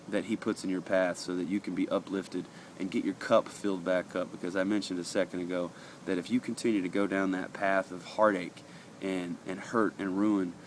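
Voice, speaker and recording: A man with a very low voice talks through a daily devotional in an earnest, conversational way, stopping often on fillers. He sounds a little sniffly from allergies. Faint traffic hums behind him. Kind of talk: casual devotional talk, reading passages aloud.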